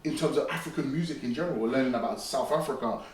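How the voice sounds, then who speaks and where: A man's voice: medium pitch, racing, a little mellow. A young man from the UK, a guest in a casual interview, talking emphatically, repeating points and checking that the listener follows. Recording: roomy echo, distant mic, faint noise.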